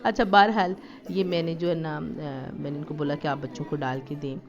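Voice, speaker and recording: A woman's voice: sits low, fairly even intonation, fairly quick. Chatty woman narrating a family vlog. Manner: chatty and homely, with frequent fillers. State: slightly sore throat. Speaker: family lifestyle vlogger. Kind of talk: casual vlog voice-over narration. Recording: slightly dull lo-fi recording.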